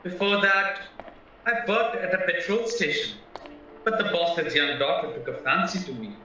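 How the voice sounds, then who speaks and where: A man's voice: sits high, normal speed, bright, forceful tone. A man acting a nervous, naive young drifter in a radio play, chatty, polite and hesitant. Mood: earnest, defensive. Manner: anxious, polite, hesitant, rambling. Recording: roomy, distant, muffled, music underneath.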